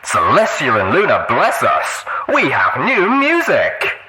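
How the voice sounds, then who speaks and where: A man's voice: medium pitch, wildly swooping pitch, slow pace, over a noisy crowd, rough recording. A man playing a boisterous, exuberant pony radio DJ, announcing to listeners like a showman.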